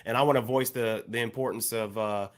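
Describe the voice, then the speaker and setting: A man talking as a podcast co-host, explaining things carefully and haltingly in a casual panel discussion. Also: mid-range voice, steady tone.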